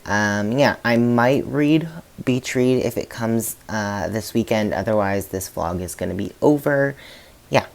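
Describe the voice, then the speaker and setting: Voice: mid-range voice, a little slow, vocal fry. A vlogger giving a casual, candid book review in a conversational, slightly hesitant way.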